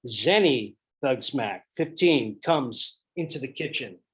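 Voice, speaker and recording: Husky man reading stage directions. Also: mid-range voice, expressive, takes it slowly, a bit bright. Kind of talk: table read narration.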